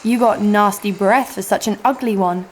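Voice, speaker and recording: A woman's voice: medium pitch, normal speed, very noisy, lightly acted. A woman playing a cocky, foul-mouthed adventurer, narrating and speaking in an audio drama with a sardonic manner. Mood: mocking, cocky. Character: brash, sarcastic, foul-mouthed female adventurer narrating her exploits. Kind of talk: first-person audio drama narration and dialogue.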